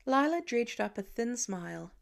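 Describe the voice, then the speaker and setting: A woman's voice: on the high side, big pitch swings, normal speed, gravelly. A woman reading a story aloud as an audiobook narrator in scripted narration.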